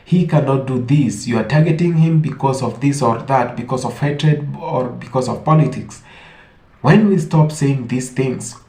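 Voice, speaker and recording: A man's voice: medium pitch, moderate pace, warm tone. A man giving opinionated commentary, speaking emphatically and posing rhetorical questions. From Africa. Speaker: online commentator. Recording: echoey room.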